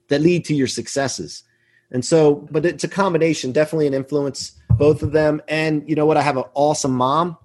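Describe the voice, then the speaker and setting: Husky, higher-voiced man in his forties. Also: a little mellow. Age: 40s. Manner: emphatic, self-assured, earnest. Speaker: podcast guest, business executive. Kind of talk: motivational interview talk, anecdotes.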